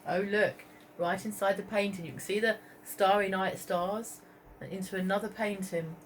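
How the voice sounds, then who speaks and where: A woman reading a story aloud to young children as a teacher, expressively, pausing to point out pictures and ask questions. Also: mid-range voice, fairly even intonation, slight background noise. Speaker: primary school teacher.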